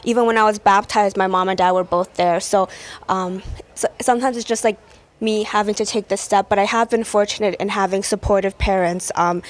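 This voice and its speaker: A woman's voice: medium pitch, steady tone, fairly quick. A woman in her twenties, born in China, speaking as a guest in an earnest, thoughtful way with frequent ums. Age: 20s. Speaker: podcast guest. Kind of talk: casual conversation.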